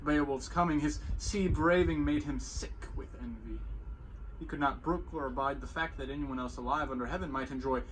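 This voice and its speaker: A man's voice: medium pitch, moderate pace, lightly acted. A man playing an old bard, narrating heroic tales in a grand, theatrical storytelling manner. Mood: grand narration. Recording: echoey room, clear background noise.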